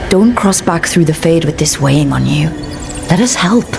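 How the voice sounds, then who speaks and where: A steady, wry adventuring leader in a video game. She sounds plain-spoken and casual in a slightly low voice, over music. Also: moderate pace, creaky. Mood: earnest. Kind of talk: video game character acting. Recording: music behind.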